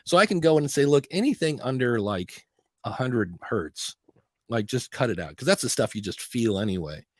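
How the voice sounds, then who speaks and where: A man's voice: mid-range voice, expressive, normal speed, no room sound. Chatty man rambling about gear. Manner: rambling, restarts and self-quotes. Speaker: podcast host. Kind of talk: casual tech chat. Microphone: studio dynamic mic.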